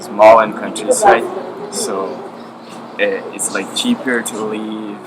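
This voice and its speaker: Brazilian young man, low voice, noisy room. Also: steady tone, takes it slowly. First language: Portuguese. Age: young adult. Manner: eager, enthusiastic, many fillers like "like". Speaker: exchange student. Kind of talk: casual interview answers. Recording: clear background noise.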